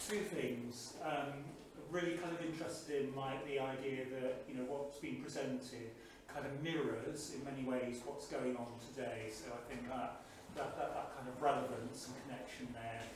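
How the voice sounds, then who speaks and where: A man in the audience giving an informal, reflective comment in a halting, tentative way, thinking aloud. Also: mid-range voice, steady tone. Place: indoor event room, without microphone. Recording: distant, very echoey, noisy room pickup.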